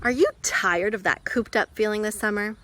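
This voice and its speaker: A woman's voice: medium pitch, normal speed, fairly bright tone, lightly acted. A woman playing a perky, persuasive announcer in a scripted parody travel commercial, with an upbeat sales manner. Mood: upbeat, salesy.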